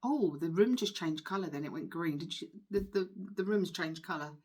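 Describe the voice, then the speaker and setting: A woman with a slightly low voice talks informally to her viewers as a tarot reader. She is earnest and reassuring, and leans on her words for emphasis. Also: animated, normal speed, darkish tone, breathy edge. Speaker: spiritual healer and tarot reader.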